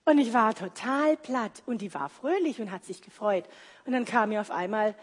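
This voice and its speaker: Husky-voiced woman preaching in a hall. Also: a little high, animated. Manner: conversational, explaining slowly. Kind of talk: sermon with personal anecdotes. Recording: reverberant hall.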